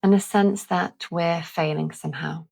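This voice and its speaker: A woman leading a calming guided visualisation in a gentle, deliberate manner with long pauses. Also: lowish voice, vocal fry, breathy edge. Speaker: guided meditation host.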